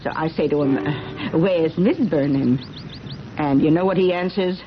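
A nosy middle-aged neighbor. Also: female voice, sits low, measured pace. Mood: insistent, suspicious. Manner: chatty, insistent. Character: nosy, determined middle-aged small-town busybody neighbor woman. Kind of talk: 1970s radio drama acting. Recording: muffled, noisy vintage radio broadcast.

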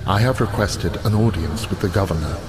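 Man acting deep, solemn elf envoy. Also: over music, lightly acted. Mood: formal, resolved. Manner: formal, measured phrasing. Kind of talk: video game voice acting.

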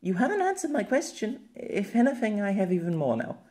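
Man putting on a high-pitched, curious young woman. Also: sing-song, brisk, warm tone. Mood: earnest. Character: earnest, curious young woman, soft-spoken and naive.

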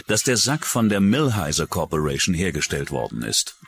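A man's voice: sits low, very animated, moderate pace, vocal fry, husky. A man narrating a true-crime documentary in a scripted style. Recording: music under the voice. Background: background music.

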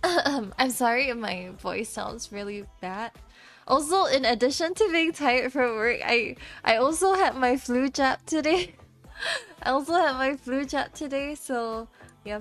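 Young woman, tired and a bit scratchy, slow halting chat, light giggles, faint music behind. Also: fairly high pitch. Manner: soft, halting, stammering, frequent giggles. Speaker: VTuber streamer. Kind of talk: casual livestream chat while drawing.

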